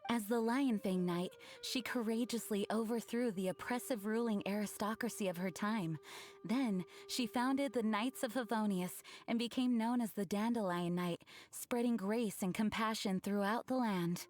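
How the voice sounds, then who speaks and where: Woman playing an earnest noble knight, medium pitch. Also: a little mellow, soft background music, lightly acted. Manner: formal, measured, solemn. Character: noble, earnest, dutiful female knight leader. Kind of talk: anime video game voice acting.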